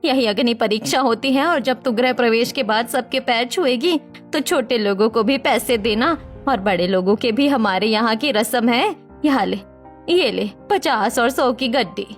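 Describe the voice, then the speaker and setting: A woman voicing a caring middle-aged mother who gently advises her daughter in a family drama. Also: fairly high pitch, moderate pace, faint music behind, close to an everyday voice. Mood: tender, advising. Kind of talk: scripted family drama storytelling.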